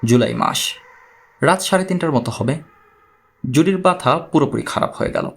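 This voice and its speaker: A man playing a troubled, courteous man who gravely recounts his own strange story in an audiobook. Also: on the high side, expressive, normal speed, a bit bright, heavily acted. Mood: pained, restrained.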